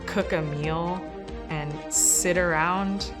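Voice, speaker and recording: A woman's voice: fairly low pitch, fairly even intonation, slow, over loud music. A woman on theatre staff speaking in interview style, relaying comments.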